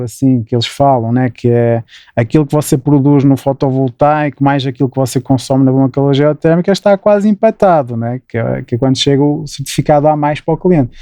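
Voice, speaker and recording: A man's voice: fairly high pitch, darkish tone, smooth, dry recording, clean studio sound. A middle-aged man with a Porto Portuguese accent, explaining things in an interview, often adding "né" and restarting his phrases. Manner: explanatory, frequent "né" tags and restarts. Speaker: guest engineer. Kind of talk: interview answers.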